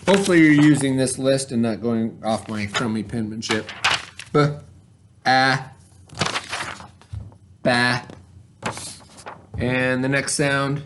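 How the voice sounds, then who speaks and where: A man's voice: medium pitch, takes it slowly, long pauses, background noise. A man teaching a remote reading lesson to children in an informal, instructional way, carefully sounding out letters and words. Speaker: elementary school teacher.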